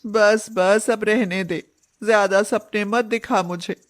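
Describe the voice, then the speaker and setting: A woman's voice: medium pitch, fairly even intonation, slow, close to an everyday voice. A woman voicing a long-suffering widowed village mother, tender but firm and plaintive, in a cartoon story. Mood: worried, tender.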